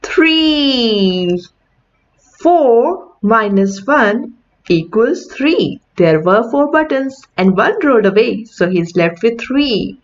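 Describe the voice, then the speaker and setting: A woman reads a children's picture book aloud at an unhurried pace. Her pitch moves up and down in an animated way, with a teacherly delivery. The recording sounds a little dull and lo-fi. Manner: deliberate teacherly delivery with questions and counting. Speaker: children's read-aloud host.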